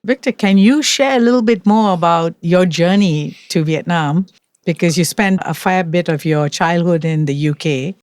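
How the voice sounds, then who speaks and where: A man hosting a podcast interview, asking questions in a measured, deliberate way. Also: high voice, clear, resonant tone, no room sound, studio quality. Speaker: podcast host.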